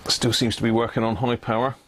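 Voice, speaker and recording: A man's voice: mid-range voice, little pitch movement, quick pace, fairly bright tone. A man hosting a casual hands-on electronics livestream, muttering his way through each step as he works. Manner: muttering step by step, thinking aloud. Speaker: livestream host demonstrating radio repair. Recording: clear background noise with clicks.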